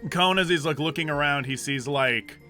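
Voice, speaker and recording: A man talks casually as a player in a tabletop game, describing his character's actions. His voice is bright and forceful, with faint music in the background. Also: on the high side, normal speed. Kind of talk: casual game chat and narration.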